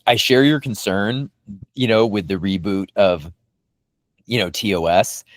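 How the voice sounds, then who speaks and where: A man's voice: lowish voice, expressive, unhurried, dry recording. A man hosting a podcast in casual fan discussion, talking in a hesitant, meandering way. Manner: hesitant, meandering, hedging delivery. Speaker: podcast host.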